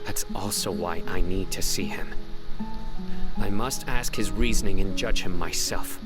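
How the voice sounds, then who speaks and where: An actor playing a stern, stoic young male judge in a video game, speaking gravely and formally. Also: low voice, a little slow. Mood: grave, resolute. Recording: game music behind the voice.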